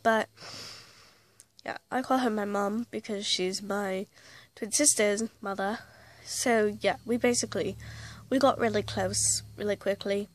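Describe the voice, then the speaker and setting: A woman telling a rambling story in a casual vlog, correcting herself as she goes, with a slight cold and a voice that is starting to go. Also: medium pitch. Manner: rambling, self-correcting, chatty. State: slight cold, losing her voice. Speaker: vlogger playing an invented actress.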